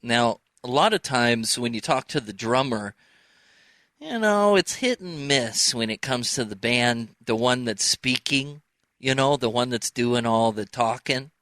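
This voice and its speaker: A man from the United States hosts a relaxed, informal interview. His mid-pitched voice is bright and forceful, with a very dry sound, and he chats casually as he asks questions. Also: unhurried, dry recording. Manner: informal, chatty. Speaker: interview host.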